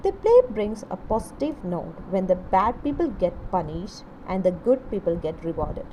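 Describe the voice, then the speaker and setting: A woman reading a scripted literature lesson in a deliberate, explanatory way, in short phrases. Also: medium pitch, background noise. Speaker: English literature teacher. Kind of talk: scripted educational narration.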